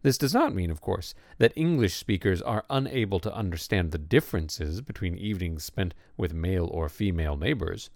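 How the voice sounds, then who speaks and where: Guy reading an essay out loud, measured and lively, lots of fry, very dry sound. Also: deep voice, no room sound. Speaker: narrator. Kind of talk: scripted narration of an essay.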